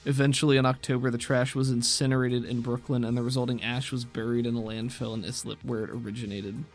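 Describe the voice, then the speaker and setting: A man's voice: medium pitch, level tone, quick pace, faint music behind. A man talking casually as a podcast co-host and game master.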